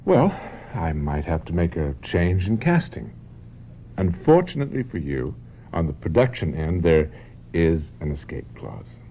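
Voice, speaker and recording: A man's voice: low-pitched, very animated, measured pace, exaggerated voice. A man playing a controlling, manipulative film producer and husband in a radio mystery drama, pressing hard as he speaks. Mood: veiled threat. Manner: pressuring, swinging from coaxing to commanding. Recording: dull lo-fi radio drama, faint noise.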